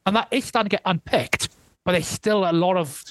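A Welsh man talking as a guest historian in a relaxed interview, thoughtful and hedging, often restarting his sentences. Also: high voice, fairly quick, no room sound. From Wales. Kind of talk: casual interview conversation.

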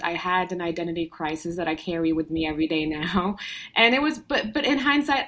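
Podcast guest: young adult woman from Morocco, lower voice, chatty and upbeat, conversational with fillers. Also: a bit bright. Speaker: guest entrepreneur. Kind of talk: casual conversational storytelling.

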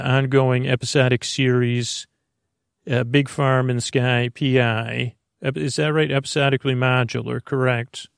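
A man hosting a bedtime sleep podcast in a halting, meandering, unscripted way, wandering off on mildly whimsical tangents. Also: creaky, no echo, clean studio sound. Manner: mildly whimsical, low-key silliness. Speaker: podcast host.

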